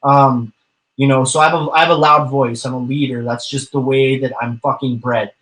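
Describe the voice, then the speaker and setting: A man's voice: mid-range voice, steady tone, normal speed, fairly bright tone, poor audio. A man chatting casually as an interview guest, rambling with many fillers and the odd curse. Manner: rambling, frequent fillers and swearing. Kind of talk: casual chat.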